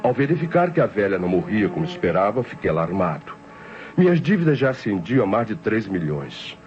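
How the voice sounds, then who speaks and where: A low-pitched Brazilian man confesses as a self-justifying, idle schemer. His delivery is formal and deliberate old radio drama, on a muffled vintage recording with music underneath. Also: very animated, darkish tone. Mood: cold, self-justifying. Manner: formal, deliberate, theatrical diction. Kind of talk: 1960s radio drama narration.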